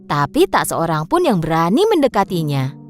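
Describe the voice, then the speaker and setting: A woman narrating a scripted children's story in an expressive storyteller's manner. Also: low voice, normal speed. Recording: music behind the voice.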